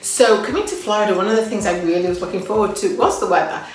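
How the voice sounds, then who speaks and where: A woman's voice: medium pitch, quick pace, very echoey, recorded from a distance, low-quality recording. A woman with an English accent, talking casually and upbeat about local living, with fillers and restarts. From England. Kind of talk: casual talk to camera.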